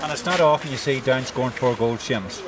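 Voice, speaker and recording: A middle-aged man interviewing a guest outdoors at a sports ground, holding a handheld mic and asking brisk questions. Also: medium pitch, far from the mic, a loud crowd. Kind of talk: post-match interview. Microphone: holds handheld mic.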